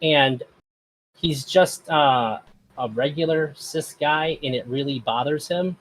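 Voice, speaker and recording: A man's voice: fairly high pitch, slow pace, a little hiss or hum, poor audio. A man hosting a casual discussion, speaking hesitantly with many fillers. Strangers tend to hear his voice as feminine. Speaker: host.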